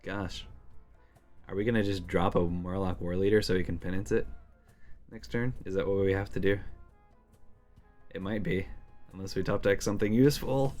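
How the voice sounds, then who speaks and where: A man giving casual strategy commentary on a card game, thinking out loud in musing asides. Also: sits low, steady tone, normal speed, long pauses. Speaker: game streamer. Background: game music.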